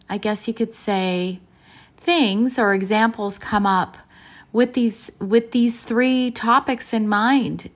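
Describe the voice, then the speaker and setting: Slow, reflective woman in her fifties. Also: mid-range voice. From Canada. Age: 50s. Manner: reflective, open and thoughtful. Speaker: nutrition and lifestyle vlogger. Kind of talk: candid casual talk to camera.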